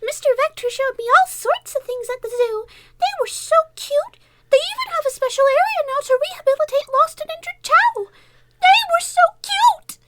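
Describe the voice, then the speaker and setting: Super high squeaky bunny kid, sweet n excitable, audio drama, loud noisy recording. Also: female voice, normal speed. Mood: delighted. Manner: gushing, childlike, very polite.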